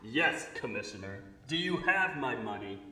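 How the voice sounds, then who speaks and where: An actor playing a smug, scheming villain in 1930s radio drama style, theatrical and menacing. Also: male voice, a little high, bright, forceful tone, echoey room, recorded from a distance, exaggerated voice. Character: Dark Shadow, smug scheming invisible villain, extorting the city.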